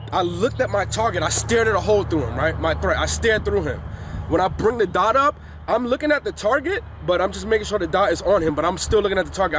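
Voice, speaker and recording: A congested man with a fairly high voice coaches in a laid-back, slangy way on an outdoor range. Traffic and open-air noise carry clearly behind him, and his nose sounds blocked. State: congested from illness. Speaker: firearms instructor. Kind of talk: casual instructional vlog. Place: outdoors on a hilly shooting range, rain starting.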